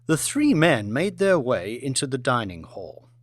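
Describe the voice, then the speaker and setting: A man narrating a scripted novel as an audiobook in a deliberate, theatrical manner. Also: medium pitch, sing-song, dry recording. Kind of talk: scripted dramatic fiction narration.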